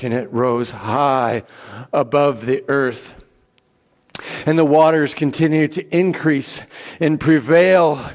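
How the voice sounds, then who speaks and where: A man giving a dramatic Bible reading as storytelling narration, with theatrical, declamatory and deliberate phrasing. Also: mid-range voice. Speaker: scripture reader. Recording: long echoing hall reverb.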